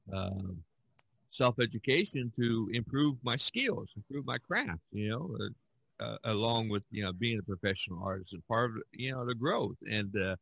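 A man's voice: mid-range voice, a bit bright, no echo. A man hosting a podcast, chatting casually in a rambling, conversational way. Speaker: podcast host. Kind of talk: casual chat.

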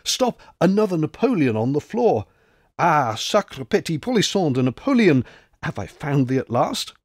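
A man voicing a boisterous, crafty old French ex-soldier in an audiobook, all exclamations and flattery. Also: fairly high pitch, moderate pace, dry recording, studio quality, pitched above the performer's own voice. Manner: blustering, exclamatory, drops into confidential tones. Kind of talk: audiobook character voice.

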